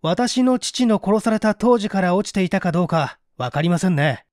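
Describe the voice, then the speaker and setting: A man's voice: sits high, expressive, normal speed, a little mellow, dry recording, clean studio sound, voice pushed up. A man playing a cool, politely needling young man in a mystery story reading, articulate and pointed.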